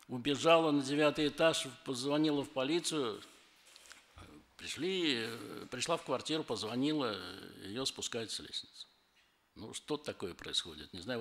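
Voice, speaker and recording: A man giving a conference talk, speaking haltingly with many pauses and fillers. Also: mid-range voice, a bit bright. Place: conference hall. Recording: long hall reverb.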